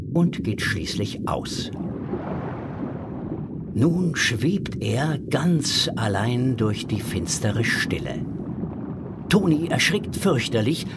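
A man's voice: mid-range voice, heavy background noise. A man narrating a scripted audio story for children. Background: music.

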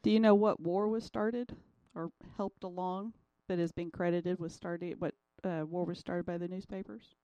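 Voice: mid-range voice, steady tone, normal speed, hardly any pauses, warm tone, no room sound. Blunt podcast co-host, dull lo-fi sound. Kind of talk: casual chat.

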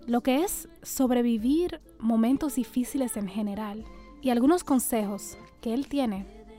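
A woman hosting a podcast who narrates and interviews a guest in a calm, measured way. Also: a little high, faint music behind. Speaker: podcast host.

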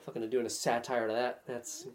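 A man chatting casually about film trailers in an animated, sardonic, freely swearing way. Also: medium pitch, moderate pace.

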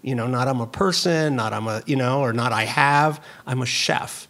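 Gravelly-voiced male podcast host. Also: mid-range voice, moderate pace, fairly bright tone. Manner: curious, rambling questions, frequent backchannel. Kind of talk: podcast interview conversation.

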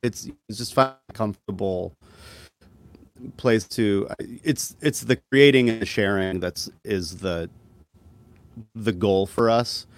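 A man chatting casually as a musician being interviewed, talking in a laid-back, self-deprecating way with halting phrasing. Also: lowish voice. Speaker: musician guest.

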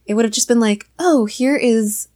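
A woman's voice: a little high, normal speed, dark tone. A woman in her twenties in casual chat, talking freely and often saying "like". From United States. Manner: chatty, heavy use of "like".

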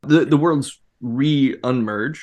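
A man's voice: mid-range voice, drawn-out words, a little mellow, muffled recording. A man co-hosting a podcast, chatting casually with droll, wordy phrasing. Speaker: podcast co-host. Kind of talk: casual chat about a movie.

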